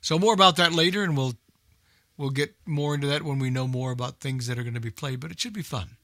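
A man's voice: mid-range voice, fast, sharp tone, dry recording, studio quality. A man hosting a radio show, giving casual, rambling unscripted announcements in an informal way.

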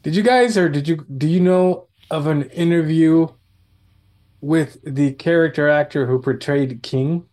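Man, slightly high pitch, casual chat, rambling, repeats himself. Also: measured pace, darkish tone. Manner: rambling, repeats phrases as he goes.

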